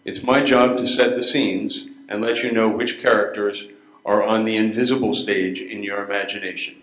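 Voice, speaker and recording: A man's voice: on the low side, level tone, normal speed, a bit bright. An older man reading scripted narration as the narrator of a readers theater play. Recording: echoey room.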